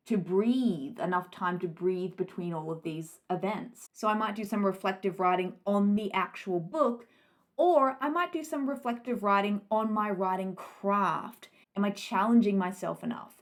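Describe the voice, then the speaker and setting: A woman from Australia, in her thirties, answers writing questions straight to camera. She talks in an encouraging, conversational way and often asks herself questions out loud. Her voice sits at an ordinary middle pitch. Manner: warm encouraging, rhetorical questions. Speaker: writing coach and author. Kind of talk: Q&A advice talk to camera.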